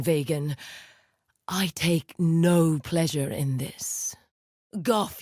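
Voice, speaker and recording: An evil necromancer lady boss from a video game, slow deliberate delivery, theatrical and menacing, super dry recording. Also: fairly low pitch, darkish tone, no room sound. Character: commanding, coldly seductive necromancer villainess, leader of a syndicate.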